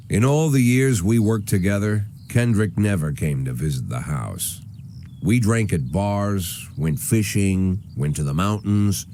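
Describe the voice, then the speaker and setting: A stubborn old police chief in a noir video game, very low and dark in voice. The delivery is sardonic and weary, with lively swings in pitch over faint background ambience. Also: male voice, a little hiss or hum. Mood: wry, brooding. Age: older. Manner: world-weary, sardonic, deliberate. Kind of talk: noir scripted game narration.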